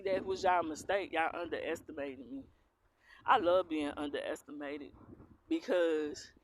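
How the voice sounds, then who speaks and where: A young woman with a strong Southern accent vents straight to camera, blunt and defiant, cursing freely. She reads tarot and talks like she has something to prove. Clear background noise runs under her voice. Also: lowish voice. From Philadelphia, raised in the US South. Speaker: tarot reader. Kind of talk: casual to-camera rant.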